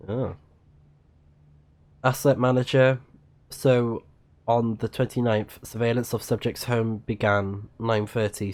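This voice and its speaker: A man livestreaming a video game, reading on-screen text aloud haltingly and muttering casual reactions. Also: on the low side, darkish tone.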